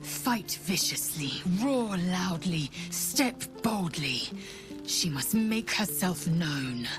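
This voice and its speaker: A woman playing a fierce, proud, solemn female warrior in a video game, speaking in deliberate declarations. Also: lowish voice, lively intonation, music in the background.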